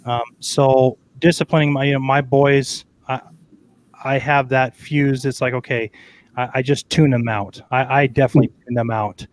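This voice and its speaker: A man's voice: medium pitch, fairly even intonation. A man hosting a casual parenting chat, often stumbling and repeating words as he talks. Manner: halting, repeats words, laughs easily. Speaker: host.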